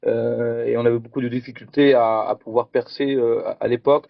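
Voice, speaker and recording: A man's voice: medium pitch, fairly even intonation, fairly quick, creaky, no room sound, lo-fi sound. A middle-aged man from France giving a conference talk with slides, speaking hesitantly and repeating words. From France, Picardy. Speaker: conference presenter.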